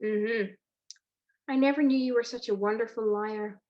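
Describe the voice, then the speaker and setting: Woman doing a teasing clever wife in old radio mystery, highish voice, dull lofi call audio. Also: fairly even intonation, normal speed, close to an everyday voice. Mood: curious, affectionate. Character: teasing, affectionate detective's wife, sharp-witted. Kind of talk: 1940s radio detective drama acting.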